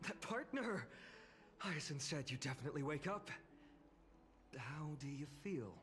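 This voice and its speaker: A male actor voicing an expressive young man in a fantasy video game. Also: fairly high pitch, a little slow, soft background music. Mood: teasing, friendly.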